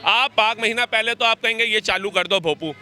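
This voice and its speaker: A man's voice: high-pitched, a little fast, bright, forceful tone, faint music behind. A man arguing combatively and emphatically in a street interview, firing rhetorical questions. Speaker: interviewee giving political opinions. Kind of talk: impassioned street interview argument.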